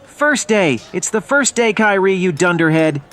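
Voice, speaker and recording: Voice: mid-range voice, lively intonation, normal speed, fairly bright tone, natural voice. An actor voicing a flustered, dreamy, apologetic young male elf in a fantasy audio drama. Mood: flustered, exasperated.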